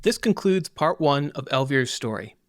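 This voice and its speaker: A man's voice: fairly high pitch, animated, moderate pace, dry recording, studio quality, soft background music. A man hosting a podcast, curious in conversation and asking follow-up questions. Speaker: podcast host. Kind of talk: conversational interview and outro.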